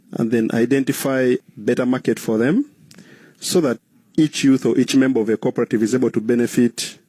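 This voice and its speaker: A man from Uganda with a Ugandan accent, answering interview questions in a measured, earnest way, explaining things in short phrases. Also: medium pitch, mellow, no echo. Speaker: guest, cooperative trainer.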